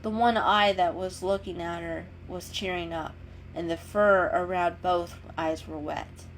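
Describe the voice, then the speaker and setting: A woman's voice: lowish voice, fairly even intonation, a little slow, a little hiss or hum, rough recording. A woman giving a dramatic storybook narration as a storyteller. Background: music.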